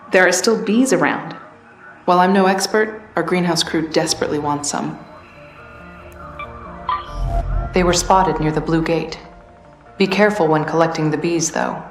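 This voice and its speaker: A woman playing a caring settlement leader in a video game, speaking in a measured, concerned manner. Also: low voice, roomy sound, lightly acted. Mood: grateful, earnest. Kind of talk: scripted video game dialogue.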